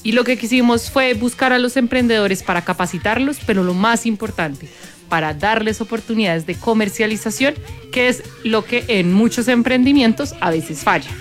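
A woman with a Bogotá Colombian accent, a guest on a talk program, explaining her work in measured, step-by-step answers. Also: fairly high pitch, faint music behind. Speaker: guest, corporate sustainability manager.

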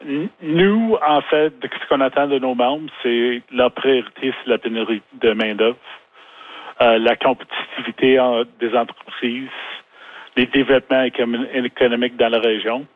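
A Canadian business owner answers questions over the phone in a halting way, often restarting his words. The line is thin and tinny, as if heard through a handset. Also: medium pitch, fairly even intonation, no echo. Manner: halting, many restarts and fillers. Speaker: interview guest, business owner. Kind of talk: phone interview. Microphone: telephone.

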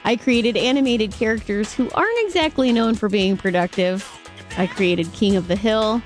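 A woman's voice: medium pitch, moderate pace, soft background music. A woman hosting a morning radio show, playfully quizzing a caller and cheering correct answers. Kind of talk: upbeat radio contest banter. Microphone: studio microphone.

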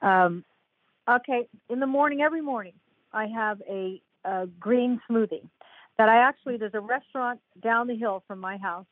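A woman's voice: a little high, normal speed, dry recording. An older woman, a podcast guest and author, chatting casually and rambling a bit with fillers. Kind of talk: casual interview chat. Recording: tinny phone-like line, dry.

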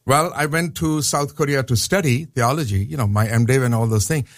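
A man's voice: mid-range voice, moderate pace, no room sound. A man with a Pakistani accent talks as a guest professor on a radio program. His delivery is earnest and explanatory, and he comes back to his points to stress them. The studio sound is dry and close. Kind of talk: radio interview.

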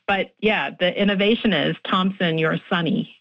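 A woman's voice: fairly low pitch, moderate pace, dull audio. A woman linguist explaining her research in a radio interview, thoughtful, with frequent restarts and fillers. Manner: thoughtful, many restarts and fillers, laughs easily. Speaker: linguist guest.

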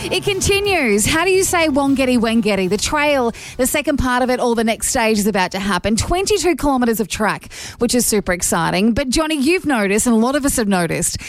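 A woman's voice: fairly high pitch, sing-song, a little fast, no echo, soft background music. An Australian woman hosting a radio breakfast show in an upbeat, chatty style. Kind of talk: upbeat radio chat and live reads. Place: radio studio.

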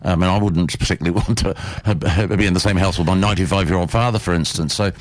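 A man's voice: sits low, fast, dry recording. A man from New Zealand in his sixties, with a New Zealand accent, hosting a panel discussion and asking long, rambling questions full of fillers. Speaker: host.

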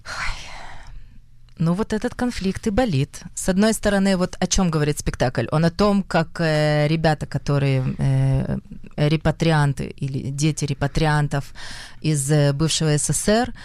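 A young woman with a Russian accent speaks in a lowish voice, pausing often and reaching for the right word. Her voice sits dry and close, with no room sound behind it. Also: darkish tone, clean tone, no room sound. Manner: hesitant, searching for words, frequent fillers. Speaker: actress, interview guest. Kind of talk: conversational interview.

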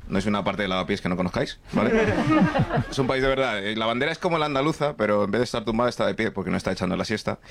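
A man's voice: on the low side, animated, racing, gravelly, very noisy. A young man from Nigeria doing stand-up, telling chatty stories and checking in often with the crowd. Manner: chatty, storytelling with frequent "¿vale?" and "¿sabes?". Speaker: stand-up comedian. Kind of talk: stand-up comedy set. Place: comedy club stage with a laughing audience.

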